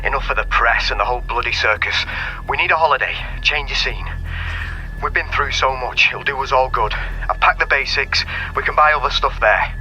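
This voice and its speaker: A brusque, sharp-voiced father on the phone. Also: medium pitch, brisk. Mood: forced calm. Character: brusque middle-aged father, evasive and controlling. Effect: phone call. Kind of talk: naturalistic radio drama acting.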